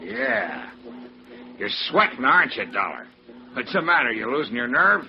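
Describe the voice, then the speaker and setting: An actor playing a smug, menacing killer who taunts and gloats, in 1950s radio noir style. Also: male voice, mid-range voice, big pitch swings, moderate pace, vocal fry. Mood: smug, taunting. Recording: dull, hissy vintage radio recording.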